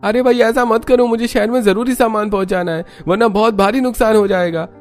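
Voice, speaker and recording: A man's voice: high voice, steady tone, a little fast, voice pushed up, lightly acted. A man voicing an aggrieved young village truck driver in a cartoon story, pleading and complaining. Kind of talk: Hindi cartoon story dialogue.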